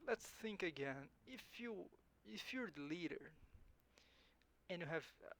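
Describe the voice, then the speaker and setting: A man's voice: high-pitched, animated, a bit bright, a little hiss or hum. A man whose first language is Portuguese, thinking aloud hesitantly while playing a strategy game. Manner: slow, musing, hesitant, self-correcting. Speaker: game streamer.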